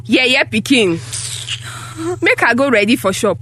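A woman's voice: a little high, animated, a little slow, fairly bright tone, a little hiss or hum. A woman with a Nigerian accent playing a harsh, accusing mother who runs a small shop, in a Pidgin drama. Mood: threatening, dismissive. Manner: scolding, exclaiming, emphatic rhetorical questions.

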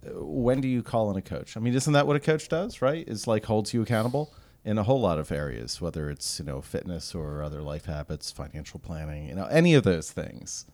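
Very low-voiced male interview host. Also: animated, a little fast, a little mellow. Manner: conversational, asks questions with many fillers. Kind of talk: casual interview questions.

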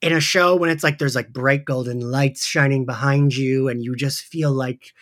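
A man's voice: mid-range voice, steady tone, moderate pace, fairly bright tone. A man hosting a casual recap podcast, speaking in an enthusiastic, gushing way. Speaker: podcast host. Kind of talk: casual podcast chat recapping an audio drama.

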